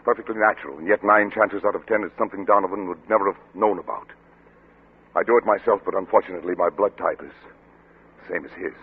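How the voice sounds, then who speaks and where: A man acting a troubled, obsessive middle-aged doctor and father in an old radio drama, with grave, formal, deliberate delivery. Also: low voice, slightly rough. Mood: urgent, determined. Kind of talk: 1940s radio drama acting with first-person narration. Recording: muffled old radio recording with music.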